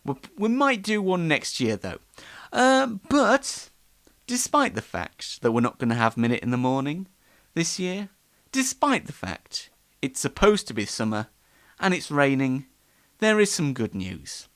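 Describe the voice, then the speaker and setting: A man's voice: a little high, very animated, measured pace, fairly bright tone. A man hosts an online show in a casual, rambling, self-deprecating live monologue.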